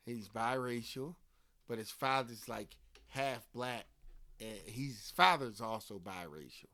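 A man's voice: mid-range voice, measured pace, dry recording. Philly guy w/ delco accent, chatty storyteller, swears a bit, dry close sound. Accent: Philadelphia (Delco). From Philadelphia area. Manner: animated storytelling, swears casually, many fillers. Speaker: podcast co-host. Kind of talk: casual book-club chat.

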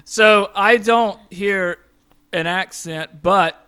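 A man's voice: high-pitched, drawn-out words, sharp tone, clear, resonant tone. A man from North Carolina chatting on a podcast, enthusiastic and playful, rambling a little. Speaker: podcast guest. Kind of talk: casual chat.

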